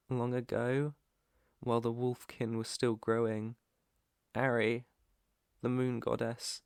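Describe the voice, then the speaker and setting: A British man playing an earnest, protective alpha werewolf in an ASMR roleplay, with a hesitant, stammering, soft-hearted way of talking and a creaky voice. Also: mid-range voice, no echo, clean studio sound. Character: protective young male alpha werewolf, earnest, shy outcast.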